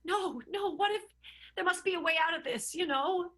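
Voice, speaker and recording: Woman doing an upset impulsive 20s gal, really high bright voice, up and down, radio soap. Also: animated, normal speed, exaggerated voice. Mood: distraught. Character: distraught, impulsive young 1920s woman, devoted. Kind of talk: improvised 1920s radio soap acting.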